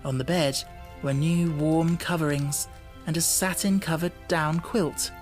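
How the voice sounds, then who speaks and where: A woman reading an audiobook as narrator, with deliberate phrasing and expressive, dramatic emphasis. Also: low-pitched. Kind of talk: dramatic scripted storybook narration. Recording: music bed under the voice. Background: music.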